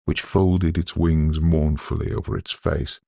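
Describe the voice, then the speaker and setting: A man narrating a literary ghost story in a measured, solemn, scholarly manner, with a gravelly, husky voice. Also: a bass voice, little pitch movement, mellow, dry recording. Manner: measured, deliberate, solemn delivery.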